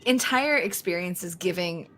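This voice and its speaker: A woman's voice: lowish voice, animated, moderate pace, a bit bright. Playful woman co-commentator, faint background noise. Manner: playful, effusive, uses internet slang. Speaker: stream co-commentator.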